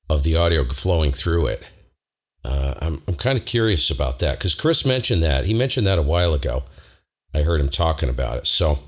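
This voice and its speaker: A man's voice: low voice, narrow, tinny audio. A man who operates amateur radio, talking technical shop in a casual, enthusiastic way. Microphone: radio station microphone. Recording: band-limited ham radio transmission.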